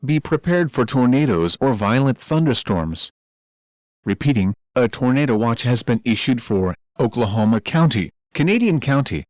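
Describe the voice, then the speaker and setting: A man's voice: medium pitch, lively intonation, moderate pace, darkish tone, dull audio. A man reading scripted weather emergency bulletins in a formal, automated-sounding delivery. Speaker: emergency alert announcer.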